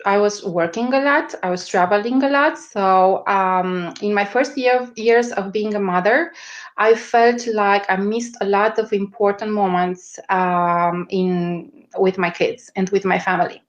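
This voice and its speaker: This is a woman with a strong Romanian accent, giving a guest interview over a video call. She talks slowly at a middle pitch. Her sentences stall on fillers and restarts while she looks for the words. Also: steady tone. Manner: hesitant, searching for words with many fillers. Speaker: guest, media strategist. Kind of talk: interview answers.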